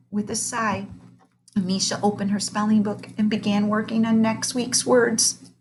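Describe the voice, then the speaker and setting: A woman reading a children's story aloud in an expressive, dramatic way, stretching key words. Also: medium pitch, slow pace, slight background noise. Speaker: read-aloud narrator.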